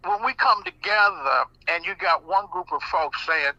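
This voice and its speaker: A man's voice: on the high side, normal speed, bright, forceful tone, no echo. A man talking as a radio guest in a casual, rambling way, full of restarts and colloquial phrasing. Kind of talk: casual talk radio conversation. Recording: dull lo-fi radio audio.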